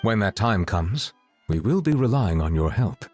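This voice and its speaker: An actor playing a dignified male high official in a video game, speaking formally and in a measured way. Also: sing-song, a little mellow, soft background music.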